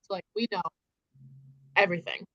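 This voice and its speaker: A woman with a medium-pitched, crisp bright voice chats casually as a co-host. The sound is dry, with no room around her. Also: steady tone, fairly quick, dry recording, lo-fi sound. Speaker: co-host of a book readalong live stream. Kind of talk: casual conversational chat.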